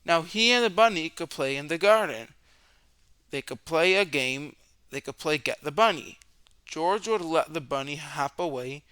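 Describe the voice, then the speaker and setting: A man with a fairly high, very bright voice reads a picture book aloud to young children. His sound is clear and smooth, and he tells it expressively, stretching words and shaping questions with drama. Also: animated, normal speed, no echo.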